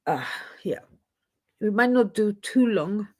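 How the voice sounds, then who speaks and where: Slow-talking woman chatting. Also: mid-range voice, lively intonation, darkish tone. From Switzerland. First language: French. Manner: rambling, chatty, often loses her thread. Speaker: live stream host. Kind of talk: casual live chat with viewers. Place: home, in Edinburgh.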